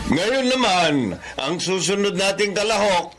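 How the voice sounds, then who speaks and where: A man's voice: high voice, animated, a little slow, loud music behind the voice. A man playing a genial village chairman hosting an event in a Filipino radio drama, in an announcer's style. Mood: jovial, announcing.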